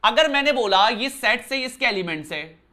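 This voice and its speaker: A man teaching maths in a bright, clear voice, talking to his students casually. He explains step by step and keeps asking them whether they follow. He is from Uttarakhand and records indoors. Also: extremely high pitch, lively intonation, moderate pace. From Uttarakhand, India. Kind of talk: classroom lesson explanation. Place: indoor room.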